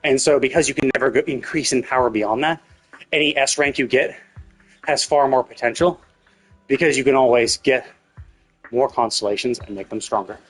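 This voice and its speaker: A man's voice: medium pitch, moderate pace, slightly rough, faint music behind, low-quality recording. A man giving casual livestream commentary on games, explaining in a rambling way and often rephrasing himself. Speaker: video game livestreamer.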